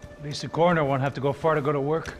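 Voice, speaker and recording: An actor playing a nervous, talkative sidekick who speaks in a quick, urgent, chatty way for a game cutscene. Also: male voice, a little high, level tone, soft background music, natural voice. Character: nervous, talkative sidekick and fellow bodyguard, adult man. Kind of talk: video game cutscene voice acting. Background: cinematic score.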